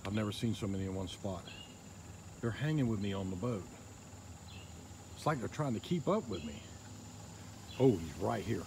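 A man filming from a small boat on a river outdoors, giving excited, quiet running commentary into his handheld phone. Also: fairly low pitch, normal speed, gravelly, long pauses. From Northeast Florida. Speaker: fishing vlogger. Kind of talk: casual narration while filming. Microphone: handheld phone camera. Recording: outdoor noise, insects behind.

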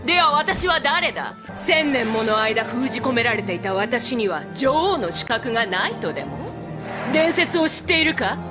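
A woman's voice: on the high side, very animated, normal speed, music in the background. A woman voicing a proud, regal pony princess in a dubbed cartoon, with pompous, archaic speech. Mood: menacing, mocking.

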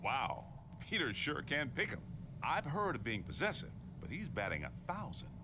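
Wry, lively ghost hunter. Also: male voice, medium pitch, moderate pace, background noise. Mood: wry, casual. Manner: wry, encouraging, colloquial. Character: level-headed, wisecracking male ghost hunter teammate. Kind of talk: video game voice acting. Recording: loud game music and effects behind.

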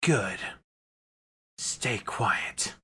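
A man voicing a brooding, aloof hedgehog anti-hero in a cartoon comic dub, terse, cold and deadpan, with gravel in the voice. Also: on the low side, drawn-out words, full character voice. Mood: cold, curt. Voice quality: husky, gravelly. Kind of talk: fan comic dub acting.

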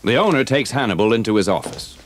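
A man's voice: medium pitch, animated, quick pace. A man narrating a scripted adventure story for an audio drama, on an old cassette recording. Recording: noisy old cassette transfer.

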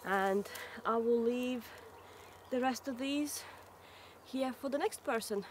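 Giggly woman in her 30s vlogging, kinda high voice, bit of faint noise behind her. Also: slow pace. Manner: chatty, giggly, thinks aloud. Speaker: homesteading vlogger. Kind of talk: casual vlog chat. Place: woodland outdoors, then home kitchen. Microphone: camera mic, handheld close then fixed camera.

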